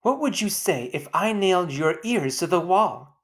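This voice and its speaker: A woman's voice: fairly low pitch, animated, normal speed, voice pushed up. A woman voicing a firm, wry mother who scolds and reasons with children in a storybook. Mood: threatening. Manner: pointed questions, firm instructions.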